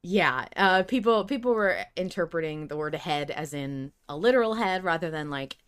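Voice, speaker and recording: A woman co-hosting a podcast, talking casually about a fandom in an animated, emphatic way and swearing freely. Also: low voice, moderate pace, clean tone. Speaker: podcast co-host. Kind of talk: casual fan discussion.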